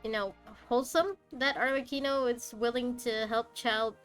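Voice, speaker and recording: A woman's voice: fairly high pitch, fairly even intonation, normal speed, fairly bright tone, faint music behind. A woman doing casual live game commentary, reacting aloud in short muttered remarks. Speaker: game streamer.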